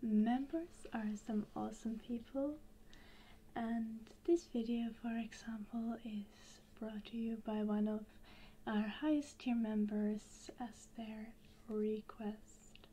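A young woman hosting an ASMR channel, speaking in a soft, breathy whisper close to the microphone. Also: on the high side, fairly even intonation, slow pace, slight background noise, poor audio. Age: young adult.

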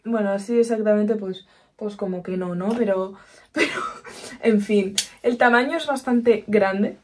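A woman's voice: mid-range voice, unhurried, a little mellow. A woman in her twenties with a Spanish accent from Spain, chatting casually through a shopping haul in a small bedroom, upbeat and full of asides. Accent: Peninsular Spanish. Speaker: vlogger.

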